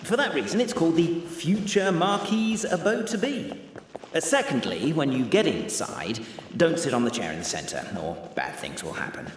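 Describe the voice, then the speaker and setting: An actor playing a theatrical, self-satisfied storyteller, narrating a mock-epic game tale pompously with hesitant asides. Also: male voice, fairly high pitch, big pitch swings, normal speed, slight background noise. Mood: flustered, insistent. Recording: echoey hall reverb.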